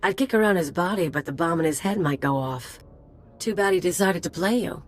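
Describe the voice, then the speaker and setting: A woman's voice: lowish voice, lively intonation, a little fast, faint music behind, natural voice. A woman playing a cocky, bloodthirsty mercenary sidekick in a video game, speaking casually and flippantly. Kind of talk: video game cutscene acting.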